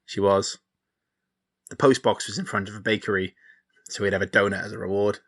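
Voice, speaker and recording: A man's voice: lowish voice, fairly even intonation, brisk, a bit bright. An actor playing an earnest, sentimental young man in an audio drama, speaking sincerely like a lonely true believer. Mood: earnest, nostalgic. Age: young adult. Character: earnest, sentimental grown man who still believes in Santa. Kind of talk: naturalistic audio drama dialogue.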